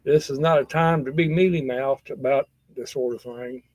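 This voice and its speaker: A man's voice: medium pitch, fairly even intonation, moderate pace. A man giving a casual, rambling safety talk in a folksy, earnest and blunt manner. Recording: dull, lo-fi sound.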